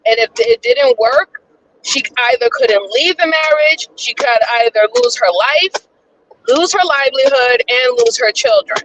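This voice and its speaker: A woman's voice: high voice, expressive, a little slow. Woman calling in from a car. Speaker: caller. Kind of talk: casual panel discussion. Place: driving a car. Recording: distant phone-in with road noise.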